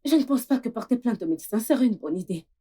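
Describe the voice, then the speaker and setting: A woman's voice: fairly high pitch, animated, fast, dark tone, husky. A woman playing an anxious young wife who coaxes and soothes, in dubbed film dialogue. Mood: soothing, coaxing. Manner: coaxing, pleading.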